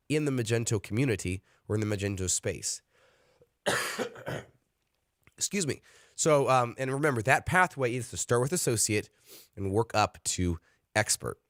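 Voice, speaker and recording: A man's voice: fairly low pitch, no echo, studio quality. A man leading an online study session, earnest and encouraging, with frequent fillers. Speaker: study group leader.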